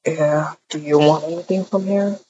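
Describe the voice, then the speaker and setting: Woman as laid-back young man, lowered voice, soft, brief low-key delivery, obliging, dull game roleplay recording. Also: steady tone, normal speed, a little hiss or hum, lightly acted. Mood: calm, low-key. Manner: quiet, low-key, terse. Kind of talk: improvised video game roleplay.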